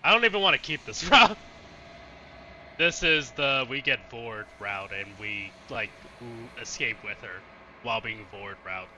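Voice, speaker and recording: A man's voice: mid-range voice, expressive, unhurried, pushed and bright, a little hiss or hum. A man streaming a let's play, commenting casually and bluntly and thinking aloud as he goes. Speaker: gaming streamer.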